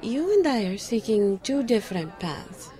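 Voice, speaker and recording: A woman's voice: fairly high pitch, sing-song, moderate pace, mellow, some chatter behind. An actress playing a skeptical, guarded psychic woman, dry and teasing, in an audio drama. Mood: firm, guarded. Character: skeptical, psychic enchanted woman, the detective's old flame.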